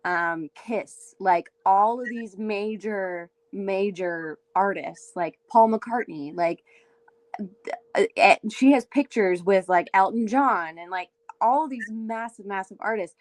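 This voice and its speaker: A young woman from Windsor, Ontario with a raspy voice, chatting loosely as a singer-songwriter guest, full of fillers. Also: mid-range voice, slow pace, dry recording. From Windsor, Ontario, Canada. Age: young adult. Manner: chatty, many fillers, laughs easily. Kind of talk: casual interview chat.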